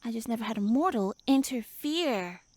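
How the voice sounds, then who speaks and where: A woman's voice: a little high, lively intonation, normal speed, a little mellow. A woman voicing a tiny, pouty tsundere elf with little wings, flustered, huffy and indignant, speaking to the listener in a roleplay audio drama.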